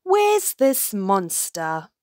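A woman's voice: medium pitch, huge pitch swings, very slow, darkish tone, no echo, clean studio sound, heavily acted. A woman voicing a friendly, sensible but easily startled hedgehog in a storybook. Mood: calm, amused.

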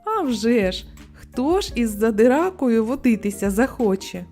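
A small storybook bird, acted by a woman, teasing and fond of giving lessons. She speaks in a high, melodic voice that moves up and down, with music behind it. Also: very animated, a little slow, a little mellow. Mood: teasing, admonishing. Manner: teasing, admonishing. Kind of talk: storybook character voice.

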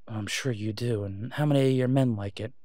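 A man's voice: medium pitch, brisk, mellow, no room sound, natural voice. A man playing a brash, sarcastic masked vigilante with dry, taunting banter in an audio roleplay. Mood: taunting, confident.

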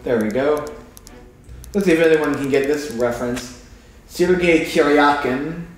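A man's voice: mid-range voice, normal speed, a little mellow, distant mic. Echoey man in joking gamer banter. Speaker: let's play co-host. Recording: very echoey with game music. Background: game music.

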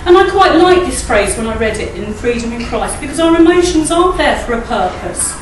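Middle-aged woman, croaky, leading a chatty church talk at a lectern mic; distant, echoey hall with noise behind. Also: high-pitched, very animated, a little fast. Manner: chatty, asks the audience tag questions and laughs often. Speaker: church speaker. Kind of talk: informal talk at a church service. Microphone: standing mic at a lectern, filmed by a far camera.

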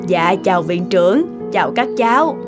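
A woman's voice: lowish voice, expressive, normal speed, close to an everyday voice. A woman playing a courteous, composed lady in a cartoon. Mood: friendly. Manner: polite. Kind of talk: animated cartoon dialogue. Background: music.

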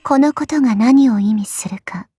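A woman playing a petite, elegant, coy and haughty goddess in a mobile game, speaking softly with refined, condescending politeness. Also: fairly high pitch, moderate pace, no echo, clean studio sound, soft background music. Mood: haughty, alluring. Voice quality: soft, breathy. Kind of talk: Japanese mobile game character voice lines.

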